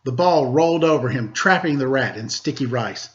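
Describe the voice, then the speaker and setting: A man working as a storyteller, reading a children's tale in an expressive narrating style. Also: mid-range voice, normal speed. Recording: slightly dull, lo-fi sound.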